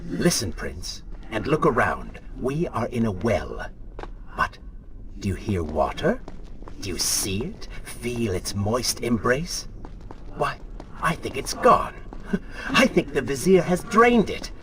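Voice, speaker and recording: An actor playing a sneering, taunting dark spirit, a silky and mocking inner voice in a video game. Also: male voice, mid-range voice, sing-song, slow, a little hiss or hum. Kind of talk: video game character acting. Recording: music under the voice.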